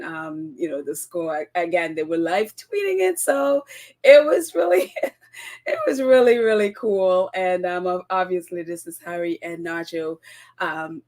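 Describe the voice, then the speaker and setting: A woman hosting a casual solo podcast, her voice croaky and congested from a cold, chatty with frequent laughs and ums. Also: on the low side, darkish tone. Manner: chatty, giggly, lots of ums. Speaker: podcast host.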